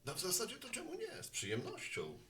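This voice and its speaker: A man's voice: sits high, huge pitch swings, fairly quick, pushed and bright, exaggerated voice. A man playing a genial, philosophizing postman in a Polish audio drama, speaking colloquially and thoughtfully. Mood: cheerful. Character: genial male postman, a chatty homespun philosopher.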